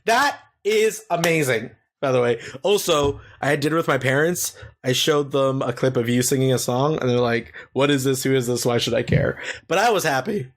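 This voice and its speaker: A man hosting a podcast, chatting in crude, rapid teasing banter. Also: medium pitch. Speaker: podcast host. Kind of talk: casual comedic chat.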